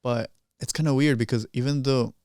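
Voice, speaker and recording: A man's voice: medium pitch, steady tone, a little fast, darkish tone, no room sound. A young man from Colombia hosting a casual podcast in informal, slangy talk on a close studio microphone. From Colombia, partly grew up in the United States. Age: young adult. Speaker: podcast host. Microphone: close boom-arm studio microphone.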